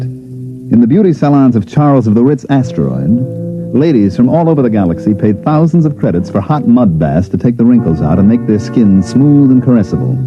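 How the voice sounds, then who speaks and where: An actor playing a wisecracking, sardonic special agent in a 1950s radio drama, both speaking and narrating in a dry, hard-boiled way. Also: male voice, medium pitch, lightly acted. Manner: wry, sardonic. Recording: dull lo-fi vintage radio, faint music.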